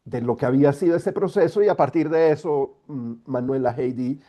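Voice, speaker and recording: A middle-aged man speaks over a video call, congested from a cold. He lays out his points in a measured, explanatory manner, with a mellow, dark voice. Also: a little high, muffled recording. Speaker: guest speaker, environmental lawyer. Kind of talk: online talk.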